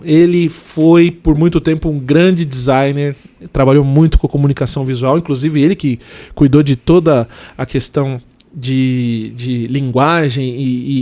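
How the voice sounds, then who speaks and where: A man hosting a podcast, introducing his guest in an effusive, chatty way. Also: fairly high pitch. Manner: warm and effusive, quick asides. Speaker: podcast host. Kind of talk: casual interview chat.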